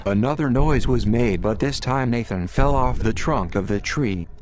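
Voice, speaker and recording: A man narrating an adventure story aloud in an unhurried, deliberate way. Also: mid-range voice, noisy background. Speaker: author reading own story.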